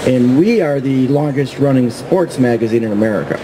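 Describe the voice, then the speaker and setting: A man's voice: mid-range voice, lively intonation, fairly quick. Middle-aged guy rambling in an interview at a trade show booth, noisy hall with hum behind. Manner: chatty, rambling with restarts. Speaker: magazine publisher, interview guest. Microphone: table stand mic.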